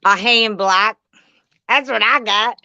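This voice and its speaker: Slow, gravelly-voiced woman chiming in. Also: fairly high pitch, pushed and bright, no room sound, highs cut off. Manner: slow, drawling, chimes in, laughs often. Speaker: co-host. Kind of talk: casual chat.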